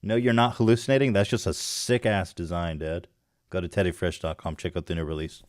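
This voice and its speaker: A man hosting a podcast, telling a comic story in a dramatic way, dropping now and then into a hushed whisper. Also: deep voice, fairly even intonation, a little fast, dry recording, clean studio sound. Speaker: podcast host.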